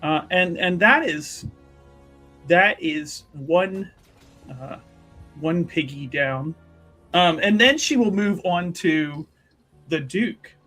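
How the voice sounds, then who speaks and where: A man playing a tabletop roleplaying game, narrating his character's actions casually in halting, wordy improvised sentences that often restart. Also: fairly high pitch, animated, sharp tone, soft background music.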